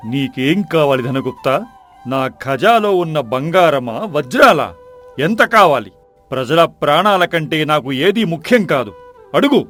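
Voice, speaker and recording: A man's voice: on the high side, big pitch swings, unhurried, fairly bright tone, soft background music. A man playing a proud, hot-tempered king in a folk-tale drama, commanding and indignant. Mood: urgent.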